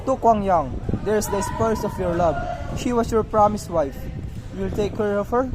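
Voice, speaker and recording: A man's voice: high voice, normal speed, music in the background, close to an everyday voice, poor audio. A man playing a solemn, ceremonious magistrate in an amateur radio drama, speaking formally and declaiming. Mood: judicial.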